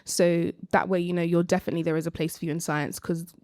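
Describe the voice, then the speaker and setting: A young woman speaking informally and reflectively as a panellist, pausing hesitantly as she shares her experience. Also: fairly low pitch, flat delivery, darkish tone, no room sound, studio quality. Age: young adult. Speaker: panellist, early-career researcher. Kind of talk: live panel discussion, personal account.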